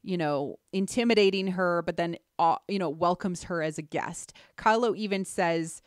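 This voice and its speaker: A woman's voice: on the low side, moderate pace, no room sound, studio quality. A woman hosting a podcast, talking through ideas analytically in an earnest, emphatic way. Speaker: podcast host.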